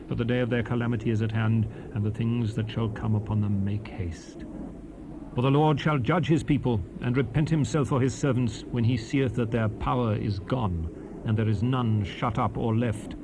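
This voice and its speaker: A stern old Hebrew prophet played by a male actor declaims scripture in a dramatized Bible. His delivery is fervent and oratorical, in a middle-pitched voice. The old cassette recording carries clear hiss. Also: normal speed, lightly acted. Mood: wrathful, proclaiming. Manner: solemn, emphatic, oratorical. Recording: noisy 1970s cassette rip with hiss.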